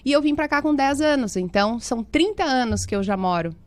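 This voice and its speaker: A woman's voice: sits high, animated, fairly quick, no echo. A woman in her forties from Paraná with an interior Paraná accent, a physiotherapist chatting about her life as an interview guest. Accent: Paraná interior Brazilian Portuguese. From Paraná, Brazil. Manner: chatty, warm storytelling with many fillers. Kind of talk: casual interview chat.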